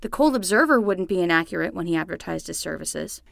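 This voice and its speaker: A woman playing a patient, wry young nanny in a fiction podcast, dry and gently exasperated as she coaxes. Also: fairly low pitch, expressive, fast talker, vocal fry, dry recording.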